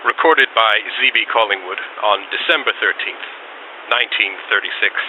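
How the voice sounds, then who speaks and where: Through clear hiss on a dull old recording comes a man playing a vain 1930s movie director in a video game. His voice is low, and he dictates his diary in pompous, theatrical, measured phrases. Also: natural voice. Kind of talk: 1930s dramatic diary monologue. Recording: noisy, hissy, muffled old radio-like recording.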